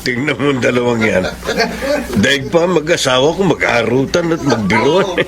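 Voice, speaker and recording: A Filipino actor playing a gentle, doting older father in a radio drama. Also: mid-range voice, animated, moderate pace, a loud crowd, natural voice. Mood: amused, fond. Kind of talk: Tagalog radio drama acting. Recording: clear background noise and ambience.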